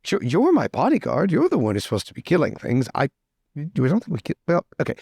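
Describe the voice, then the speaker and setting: A man's voice: on the high side, huge pitch swings, quick pace, creaky, darkish tone, slightly rough, dry recording, studio quality, exaggerated voice. A man voicing a fussy, vain young aristocrat in tabletop roleplay, stammering, flustered and theatrically exasperated. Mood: coaxing.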